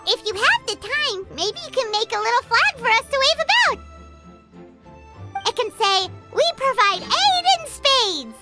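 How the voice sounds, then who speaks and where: A woman voicing a small, cheeky, childlike fairy companion in a video game, squeaky and chirpy, speaking bluntly and excitably. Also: measured pace, exaggerated voice. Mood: playful, eager. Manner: speaks of herself in third person, blunt, chirpy. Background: music.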